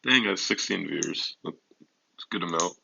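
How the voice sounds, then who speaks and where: A teenage guy with a notably low, forceful voice hosts a livestream. His tone is edged with vocal fry, and he talks casually and off the cuff, filling time between announcements. The sound is slightly dull and lo-fi. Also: fairly quick, slight background noise. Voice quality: deep voice. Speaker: livestream host of an online racing league draft. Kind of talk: casual livestream chat with announcer-like list reading. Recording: dull, lo-fi microphone.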